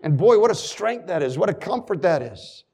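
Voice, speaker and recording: A man preaching a sermon, moving between loud emphasis and quiet asides, reading scripture in old-fashioned phrasing and adding folksy remarks to the congregation. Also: sits high, very animated, moderate pace, creaky, slightly rough.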